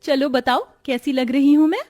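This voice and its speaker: A woman playing an innocent, timid young woman with a girlish manner in an animated story. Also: high-pitched, normal speed, natural voice. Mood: playful.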